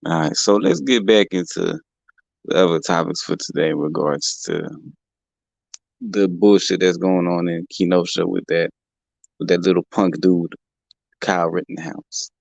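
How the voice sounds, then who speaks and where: A young man hosting a casual livestream, talking in a heated, profane way and repeating himself, his voice a bit rough from allergies. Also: low-pitched, steady tone, a little slow, pauses often, no echo. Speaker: livestream host. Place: home in California.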